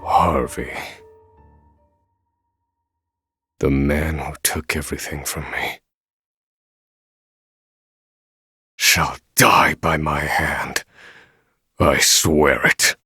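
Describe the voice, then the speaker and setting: An actor playing a grim, vengeful imprisoned scholar in a video game, speaking slowly, deliberately and solemnly. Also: male voice, very deep voice, steady tone, bright, forceful tone, faint music behind, exaggerated voice. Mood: cold vow. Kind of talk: dramatic game narration and dialogue.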